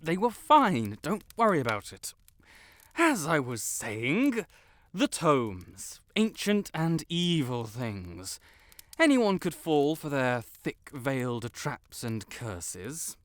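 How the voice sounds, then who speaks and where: A man's voice: on the high side, very animated, slow, fairly bright tone, no room sound, full character voice. A man playing a pompous, melodramatic wizard in an audio roleplay, with flowery, theatrical speech and blurted self-corrections. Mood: boastful, faltering. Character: haughty, melodramatic male wizard, secretly fond tsundere. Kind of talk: scripted audio roleplay to the listener.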